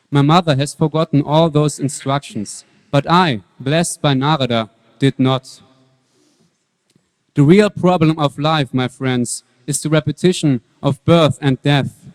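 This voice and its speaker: A man playing a devout, fearless young prince in a dramatic reading, speaking with calm, earnest wisdom. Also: on the high side, natural voice. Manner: earnest, measured preaching. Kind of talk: dramatic scripture reading on stage. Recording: long hall reverb.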